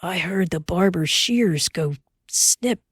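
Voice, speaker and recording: A woman reading aloud who voices a steady, teasing pioneer father in a low, plain, earnest way. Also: slow, husky, no echo, studio quality.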